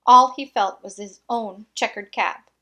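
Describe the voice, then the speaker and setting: Bright, unhurried woman reading a storybook. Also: fairly high pitch, fairly even intonation. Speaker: storyteller reading a picture book aloud. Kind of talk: children's read-aloud with asides.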